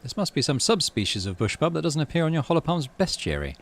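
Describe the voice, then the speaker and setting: A man's voice: fairly high pitch, sing-song, quick pace. A man hosting a playthrough, reading game text aloud with animation and muttering offhand commentary to himself. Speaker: gaming channel host.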